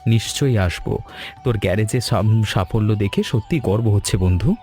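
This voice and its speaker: A man's voice: on the low side, moderate pace, a little mellow, soft background music, lightly acted. A man playing a cheerful young married man in a cartoon story, speaking affectionately and familiarly. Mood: cheerful, fond. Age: young adult.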